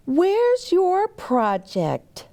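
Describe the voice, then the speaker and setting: A woman's voice: very high-pitched, wildly swooping pitch, drawn-out words, warm tone, pitched above the performer's own voice, full character voice. Lady voicing a bookish little storybook schoolgirl, helpful, encouraging, takes her time. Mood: curious.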